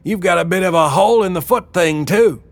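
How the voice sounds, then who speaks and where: A man's voice: high-pitched, brisk, gravelly, dry recording, voice pushed up. A man voicing a brash, coarse, very large older woman ringleader in an audiobook, teasing and folksy. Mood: brash, commanding.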